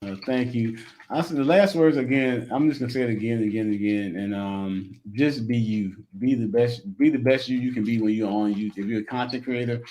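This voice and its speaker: A man's voice: medium pitch, brisk, mellow, poor audio. A man guest on a livestream, playful and encouraging as he gives advice. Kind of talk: casual livestream chat.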